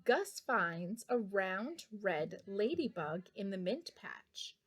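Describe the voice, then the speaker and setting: A woman's voice: mid-range voice, big pitch swings, slow, fairly bright tone, slightly rough. A woman reading a picture book aloud for young children, addressing her young listeners directly. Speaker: children's storybook reader.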